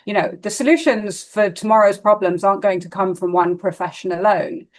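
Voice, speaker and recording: A woman speaking fluently and articulately as a risk management specialist in a casual conversation, often checking in with a quick "right?". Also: medium pitch, darkish tone.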